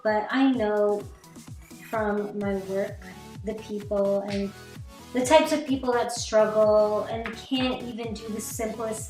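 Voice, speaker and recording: Young female vlogger, chatty and rambling; roomy sound with soft music under it. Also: a little high. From Canada. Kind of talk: casual chat while doing makeup. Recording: echoey room, distant mic, faint music.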